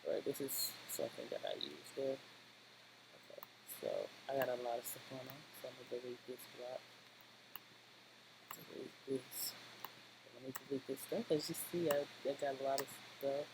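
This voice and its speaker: A software tutorial presenter talking informally through the steps in a rambling, halting way, often checking in with a short question. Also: medium pitch, animated. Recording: clearly noisy home-style voice-over recording.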